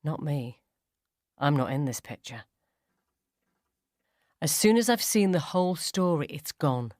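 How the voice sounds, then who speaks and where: A woman reading a first-person ghost story as scripted dramatic narration, measured and suspenseful. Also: deep voice, animated, darkish tone, no echo, clean studio sound. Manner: suspenseful, measured, acts out dialogue.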